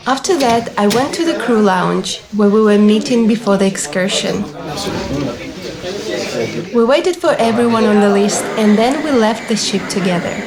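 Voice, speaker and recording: A young woman reading scripted travel vlog narration in a clear, orderly, explanatory manner. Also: mid-range voice. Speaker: vlogger and cruise ship receptionist. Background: background music.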